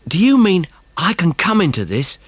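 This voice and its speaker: A man voicing an excitable working-class boy, eager and bossy, in a children's audiobook. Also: high-pitched, moderate pace, slightly rough, no echo, pitched above the performer's own voice, full character voice. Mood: surprised, dawning excitement. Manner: colloquial, exclaiming, eager. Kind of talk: dramatised children's audiobook acting.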